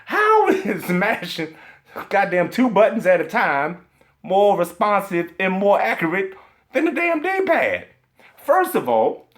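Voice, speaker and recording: A man's voice: sits high, a bit bright. A man ranting profanely about video games, emphatic and indignant, stumbling over repeated fillers as he hunts for words. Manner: emphatic and indignant, heavy swearing; rambling with stacked filler hesitations. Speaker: video game commentator. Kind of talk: profane ranting vlog commentary.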